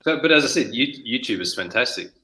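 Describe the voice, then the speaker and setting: A man's voice: mid-range voice, lively intonation, a little fast, sharp tone. A middle-aged man from New Zealand, a guest author chatting casually in an interview, rambling with many restarts. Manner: rambling, with frequent restarts and fillers.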